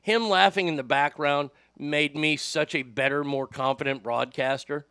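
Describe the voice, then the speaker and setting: A middle-aged radio host with a high, bright voice and a gravelly edge tells profane stories in a casual, animated way. The sound is close and dry, with no room around it. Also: male voice, moderate pace, dry recording. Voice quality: high voice without much bass. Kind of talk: casual storytelling podcast.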